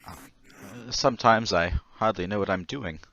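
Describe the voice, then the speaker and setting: A man's voice: on the low side, normal speed, creaky, a bit bright, dull audio. A man playing a nervous, befuddled older war veteran in a radio play, stammering and hesitant. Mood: confused, troubled. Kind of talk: old-time radio play acting.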